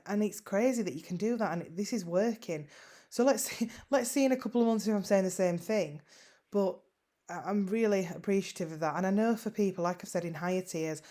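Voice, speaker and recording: A middle-aged woman with a West Yorkshire accent talks in a fairly low voice. She chats casually and modestly, with frequent ums and repeated words. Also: darkish tone. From West Yorkshire, England. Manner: chatty, self-deprecating, many ums and repeated words. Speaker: online seller vlogger. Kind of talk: casual screen-share vlog.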